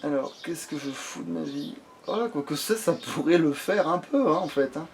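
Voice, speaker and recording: A man's voice: a little high, expressive, moderate pace, slight background noise. A man chatting casually through a video game as let's play host, slangy and sweary, grumbling as he goes. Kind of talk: casual gaming chat.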